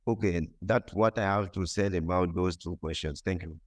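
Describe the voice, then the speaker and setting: A man's voice: deep voice, moderate pace. A man answering on a conference panel, hesitant, with many fillers and restarts.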